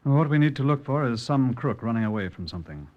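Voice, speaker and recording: A shrewd British police sergeant, played by a man in a vintage radio drama, heard with long reverb on a dull old recording. He is deferential and matter-of-fact, with a mid-pitched voice. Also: animated, quick pace, close to an everyday voice. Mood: confident, speculative.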